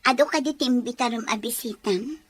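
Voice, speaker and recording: Casting: actress as generous married homemaker, high-pitched, chatty, Ilocano accent, audio family drama. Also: female voice, fairly quick, breathy edge. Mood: casual, agreeable. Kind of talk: acted family radio-style drama dialogue.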